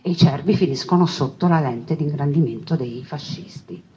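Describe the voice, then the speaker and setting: A woman performing a narrative monologue for an audience, expressive, with conversational asides and repeated phrases. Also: sits low, normal speed, lo-fi sound, slight background noise. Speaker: stage storyteller, monologue performer.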